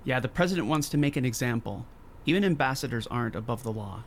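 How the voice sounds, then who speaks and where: An actor playing an earnest, tender-hearted advocate in an audio drama, talking in a chatty, expressive way. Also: male voice, mid-range voice, quick pace, a little hiss or hum, lightly acted. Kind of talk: naturalistic audio drama acting.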